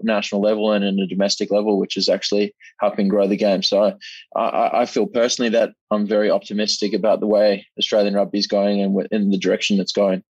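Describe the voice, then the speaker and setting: A man's voice: lowish voice, husky, no echo, lo-fi sound. A young man, a rugby player, answering interview questions modestly and repeating words as he thinks, heard over a remote line. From Zimbabwe.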